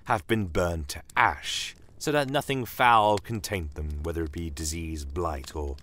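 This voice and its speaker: A man voicing a shrewd, courteous non-human commander in a fantasy audio drama, measured and politely menacing. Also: low-pitched, a bit bright, a little hiss or hum. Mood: smoothly courteous.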